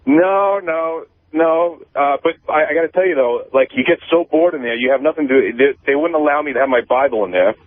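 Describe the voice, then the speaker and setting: A man's voice: a little high, a little fast, few pauses, no room sound. A man calling into a radio show over a phone, casually telling personal stories in a rambling, profane, repetitive way. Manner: rambling, profane, repeats himself. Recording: tinny phone line.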